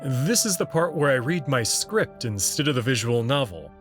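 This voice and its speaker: A man serves as narrator, reading from a script. His mid-pitched voice moves with lively intonation, and music plays behind him. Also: normal speed. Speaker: narrator and reader.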